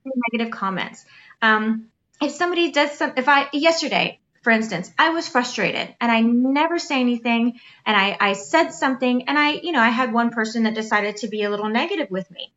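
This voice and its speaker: A woman with a high, sweet voice, co-hosting a casual chat and earnestly encouraging her listeners. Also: expressive, brisk, fairly bright tone, dull audio.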